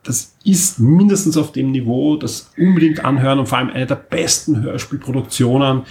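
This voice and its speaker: A man's voice: mid-range voice, normal speed, a little mellow. A man co-hosting a podcast in casual chat, wordy and enthusiastic, piling up emphasis in long monologues. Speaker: podcast co-host.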